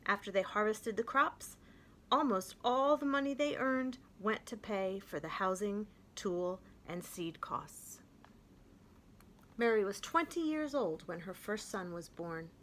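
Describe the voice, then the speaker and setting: A woman reading a children's picture book aloud, with gentle, expressive and clear storytelling. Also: mid-range voice, a little slow, slight background noise.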